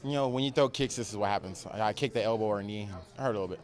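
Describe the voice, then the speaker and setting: A man's voice: on the low side, very fast. A young man answering post-fight questions in terse, confident short statements. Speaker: fighter interviewed after a win. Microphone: handheld interviewer mic.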